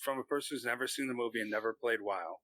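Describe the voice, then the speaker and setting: A man chatting casually as a podcast co-host, measured and sometimes searching for the right word. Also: mid-range voice, fairly bright tone. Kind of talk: casual chat.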